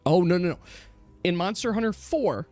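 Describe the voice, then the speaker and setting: A man chatting casually and at length as a gaming stream host, emphatic and animated, often correcting himself. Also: sits high, moderate pace, no room sound. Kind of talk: casual rambling gamer chat. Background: background music.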